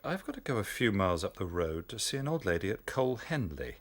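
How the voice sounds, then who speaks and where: A man with an English accent playing a kindly, educated country doctor in a radio drama, gentle, avuncular and a little hesitant. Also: fairly low pitch, sing-song, fast talker. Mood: kindly, matter-of-fact. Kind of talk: naturalistic radio drama acting.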